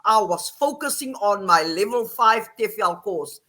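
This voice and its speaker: A middle-aged woman from the Western Cape with an Afrikaans South African accent, telling her story in animated casual talk on a video call. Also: mid-range voice, measured pace. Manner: animated, repeats phrases, laughs often. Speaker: guest, online English teacher.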